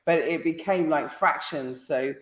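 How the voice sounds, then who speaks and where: A woman's voice: low-pitched, a little slow, crowd noise. British woman hosting, in a roomy space. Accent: British English. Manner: probing, repeats questions. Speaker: live stream host. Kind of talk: casual live-stream interview.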